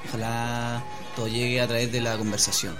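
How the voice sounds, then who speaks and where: Chilean male guest, mid-pitched voice, relaxed conversational interview style, music playing behind. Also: a little fast. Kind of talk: casual chat.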